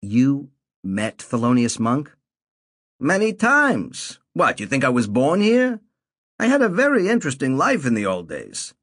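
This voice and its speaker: A man doing a slow, sly old man. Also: medium pitch, huge pitch swings, fairly bright tone, dry recording, studio quality, pitched above the performer's own voice, exaggerated voice. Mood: cheerful. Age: older. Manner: drawn-out, nagging, sly. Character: slow-talking old man in a nursing home, pushy and teasing.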